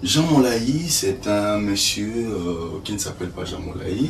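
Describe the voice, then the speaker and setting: An older man from Congo, an actor and stage director answering interview questions slowly with many fillers. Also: fairly low pitch, animated, vocal fry. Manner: slow, hesitant, many fillers. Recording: echoey room, distant mic, faint noise.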